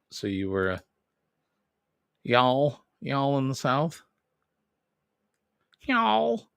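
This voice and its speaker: A man's voice: lowish voice, a bit bright, clear, resonant tone, no room sound, clean studio sound. A man with a Boston accent hosting a casual live stream, rambling and correcting himself as he chats with viewers. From New England. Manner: rambling, self-correcting, chuckles often. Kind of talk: casual live chat reading viewer comments.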